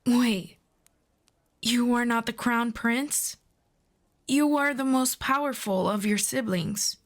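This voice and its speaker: A woman playing a proud, earnest demon princess in a fantasy audio roleplay, speaking in a formal, deliberate, persuasive way. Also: a little high. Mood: surprised, insistent.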